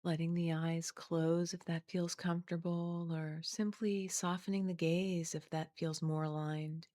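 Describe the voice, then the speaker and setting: Female meditation guide, slightly low voice, soothing and unhurried, very level intonation, close dry recording. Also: little pitch movement, no echo. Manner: gentle, soothing, unhurried delivery with long pauses. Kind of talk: guided body scan meditation, scripted and slowly spoken.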